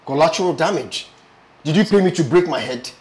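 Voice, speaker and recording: A man's voice: a little high, lively intonation, brisk, echoey room, a crowd in the background, natural voice. An actor playing an indignant, injured man in a drama, emphatic and repeating his complaints. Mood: aggrieved. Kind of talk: film drama dialogue with comic exasperation.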